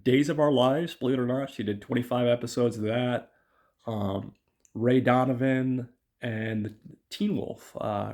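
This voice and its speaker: A man hosting a podcast whose voice is raspy from illness, chatting loosely through a film review with many ums and uhs and talking to his listeners as guys. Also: medium pitch, darkish tone. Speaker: podcast host.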